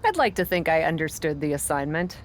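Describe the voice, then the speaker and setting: A woman's voice: deep voice, very animated, brisk, slight background noise. A woman playing a cocky, sarcastic young pro wrestler in a video game cutscene.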